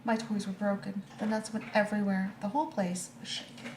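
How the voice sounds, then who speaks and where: A woman's voice: medium pitch, level tone, a little fast, natural voice. A woman voicing a shaken, frightened squirrel from a storybook in simple childlike phrases. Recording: echoey room with clear background noise.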